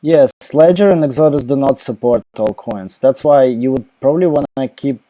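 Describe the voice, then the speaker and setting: Ukrainian guy on a laptop mic at home, very dark mellow tone, dry sound, lots of um and like. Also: medium pitch, normal speed, dry recording. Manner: rambling, many fillers and restarts. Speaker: crypto live Q&A host. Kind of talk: casual live Q&A.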